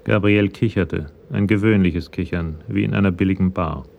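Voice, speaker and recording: A man's voice: low-pitched, moderate pace, gravelly, lo-fi sound. A man narrating a scripted radio crime drama in a matter-of-fact manner.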